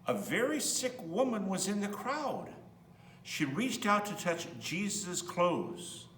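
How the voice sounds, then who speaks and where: Male priest for children's story reading; expressive, bright delivery, echoey room, mic set back with faint hum. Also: a little high, far from the mic. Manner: expressive, explains in simple words as to children. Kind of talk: storybook read-aloud with asides to children.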